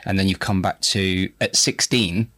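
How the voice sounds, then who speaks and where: A man's voice: sits low, fairly even intonation, moderate pace, pushed and bright. A man hosting a podcast, asking curious, probing questions in a casual chat. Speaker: podcast host.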